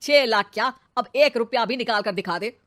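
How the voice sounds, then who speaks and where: A blustering male swindler from a moral story, acted out by a woman. The voice is fairly high, with a taunting, menacing manner and an angry temper. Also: normal speed, fairly bright tone, lightly acted. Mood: angry, threatening. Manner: taunting, threatening.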